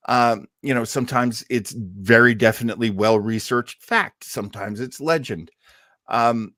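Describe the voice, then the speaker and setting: A man's voice: mid-range voice, dry recording, studio quality. Casual, rambling man hosting a show. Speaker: host of an online show. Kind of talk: casual live show chat with announcements.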